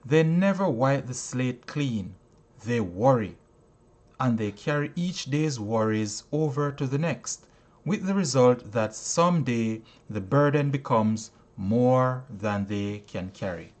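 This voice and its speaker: A man reading inspirational prose aloud like an audiobook narrator, deliberately and emphatically, pausing between short phrases. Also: medium pitch, animated, a little mellow. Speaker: narrator reading a self-help book aloud.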